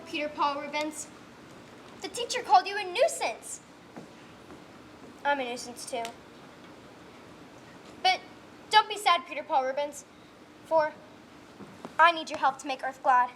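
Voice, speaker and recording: A woman's voice: sits high, fairly bright tone, a little hiss or hum. A young woman playing an earnest, tender girl in a stage play, talking to her pet pig.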